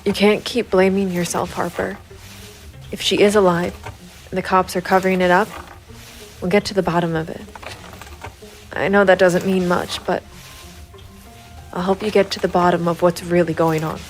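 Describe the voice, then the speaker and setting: An actress playing a hard-boiled, brooding and quietly determined female private investigator in a gritty noir audio drama. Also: fairly low pitch, flat delivery, moderate pace, long pauses, soft background music, natural voice. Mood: quietly reassuring. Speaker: voice actor narrating and playing the lead of an audio drama. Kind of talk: gritty noir audio drama acting and first-person narration.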